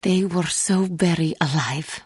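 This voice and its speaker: An actress voicing a solemn, wounded young feline alien Jedi woman in a video game, speaking in a measured, formal, guarded way. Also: fairly low pitch, breathy edge, no room sound, studio quality. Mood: wistful admiration. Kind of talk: video game character dialogue.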